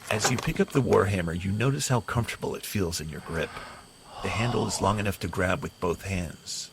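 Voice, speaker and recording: A man with a very deep voice narrates an audio drama in the second person. His delivery is steady and descriptive, with a fairly lively lilt. The recording has audible background noise. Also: fairly quick, vocal fry.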